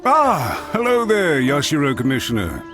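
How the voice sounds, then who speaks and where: Animated, deferential clan retainer. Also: male voice, a little high, moderate pace. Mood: courteous. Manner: courteous, formal. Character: deferential, cheerful male clan retainer, formally hospitable. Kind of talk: video game character acting. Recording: music behind the voice.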